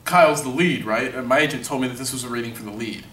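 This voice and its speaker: A man's voice: medium pitch, fast talker, a bit bright, roomy sound, distant mic, slight background noise, close to an everyday voice. A man playing a vain, explosive washed-up movie actor in a mockumentary comedy, with a pompous, indignant manner. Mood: haughty, impatient. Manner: pompous, indignant, self-important.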